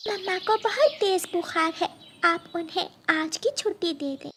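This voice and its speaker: Casting: dutiful baby girl chick played by a woman, very high little voice, earnest, caring, children's cartoon. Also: moderate pace, a bit bright, a little hiss or hum. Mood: worried. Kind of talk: Hindi moral cartoon story dialogue.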